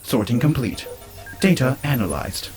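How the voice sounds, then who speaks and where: A cold machine intelligence, voiced by a man issues clipped status reports at a middle male pitch. It is procedural and impersonal, in audio drama style, with music clearly behind it. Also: moderate pace, natural voice. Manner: flat, clipped status announcements. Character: cold procedural computer system running data routines.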